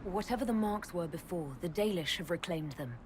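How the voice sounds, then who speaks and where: A woman's voice: lowish voice, brisk, noisy background, close to an everyday voice. An actress playing a proud, steadfast elven woman leader who speaks earnestly and directly, in game cutscene dialogue. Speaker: video game character.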